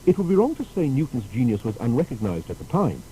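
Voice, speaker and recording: A man's voice: mid-range voice, quick pace, poor audio. A man narrating a scripted historical biography in a formal, storytelling manner. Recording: steady faint background noise.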